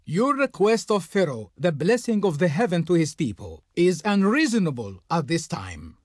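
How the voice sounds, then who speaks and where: High-pitched, pompous Egyptian royal envoy. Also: male voice, sing-song, normal speed, slightly rough, dry recording, studio quality, heavily acted. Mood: pompous refusal. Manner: pompous, declamatory. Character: haughty, flowery Egyptian royal envoy of the Pharaoh. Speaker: game voice actor playing a diplomat. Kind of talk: theatrical scripted game dialogue.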